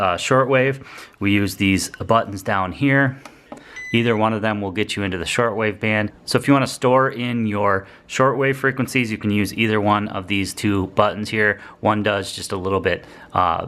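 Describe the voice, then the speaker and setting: Low-voiced man casually demonstrating a gadget. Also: a bit bright. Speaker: product reviewer, host.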